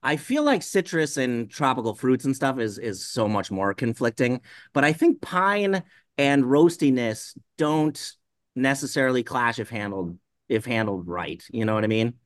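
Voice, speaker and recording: A man's voice: medium pitch, lively intonation, normal speed, no room sound, clean studio sound. A man from the Pacific Northwest, a brewer talking shop in a casual podcast chat, rambling with lots of "you know" fillers. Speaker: brewer guest.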